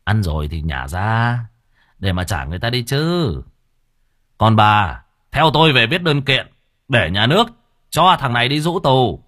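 Người đàn ông diễn viên cai chợ hống hách giọng Bắc. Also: male voice, medium pitch, big pitch swings, a little slow, fairly bright tone, no echo, clean studio sound. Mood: bullying. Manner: sneering, barking, mocking. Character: corrupt bullying colonial-era market warden, man.

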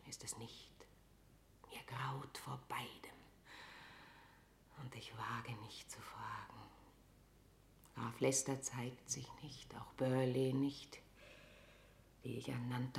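A woman's voice: a bass voice, flat delivery, slow, vocal fry, long pauses, a little hiss or hum, full character voice. An actress playing an imperious, scheming Queen of England in a declamatory classical radio drama style. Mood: anxious, brooding. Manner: declamatory, regal, swinging from whisper to outburst. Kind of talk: classical German stage verse in radio drama. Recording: roomy studio radio drama echo.

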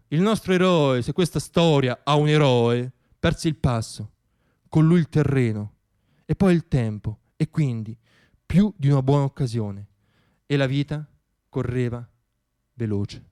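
A man performing a theatrical radio monologue, telling stories in a wry, dramatic way with deliberate pauses. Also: high voice.

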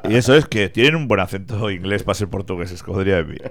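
A man with a low voice and a gravelly rasp hosts a radio program about rock and metal. He talks casually and with enthusiasm, letting his phrases ramble loosely as he goes. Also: expressive, fast, heavy background noise. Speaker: radio show host.

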